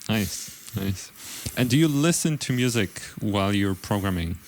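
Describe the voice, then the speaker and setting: A man hosting an interview podcast, speaking in a polite, measured way with formal phrasing. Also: on the low side, expressive, slight background noise, rough recording. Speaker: podcast host. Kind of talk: interview, then podcast sign-off.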